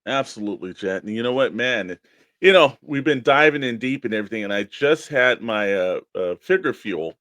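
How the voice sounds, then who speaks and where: A man's voice: mid-range voice, animated, moderate pace, fairly bright tone, no echo. A man co-hosting a toy collectors' show, bantering casually and plugging programs with emphatic hype. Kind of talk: casual radio-style show banter and plugs.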